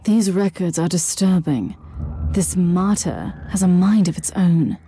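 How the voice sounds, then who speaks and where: A woman's voice: lowish voice, measured pace, dark tone, a little hiss or hum. A woman voicing a stern, commanding, devout inquisitor in a video game, speaking curtly and with authority. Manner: curt, authoritative.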